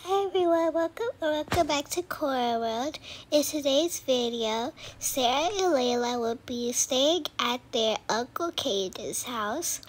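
Young woman, high voice, casual intro, slow pace, noisy recording. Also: animated. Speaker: storyteller of a toy-doll roleplay channel. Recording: clearly noisy home recording.

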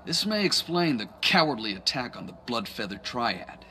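Game cutscene Triad leader: bright, forceful voice, lively intonation, polite and formal, earnest, faint background noise. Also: male voice, mid-range voice. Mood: courteous, serious. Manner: formal, polite, measured wording. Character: courteous, earnest male Chinese Triad boss. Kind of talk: video game cutscene acting.

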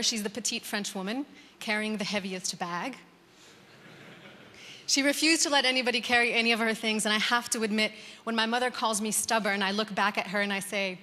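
A woman in her thirties with an American accent giving a conversational conference talk, with frequent "um"s and restarts. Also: mid-range voice. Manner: warm conversational, many "um"s and restarts. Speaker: conference speaker. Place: large conference hall. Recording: reverberant hall.

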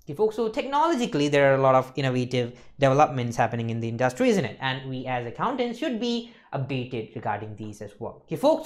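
Young male lecturer, swooping lively intonation, emphatic teaching delivery. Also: medium pitch. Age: young adult. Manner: emphatic, repeats checking tags like "okay, folks" and "isn't it". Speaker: accountancy lecturer. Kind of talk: explanatory lecture to camera. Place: indoor lecture studio.

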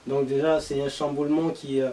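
Higher-voiced young man, a bit distant. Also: little pitch movement, a little fast, mellow. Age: young adult. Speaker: panelist presenting a football segment. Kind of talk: casual discussion. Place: small meeting room around a table. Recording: distant room mic.

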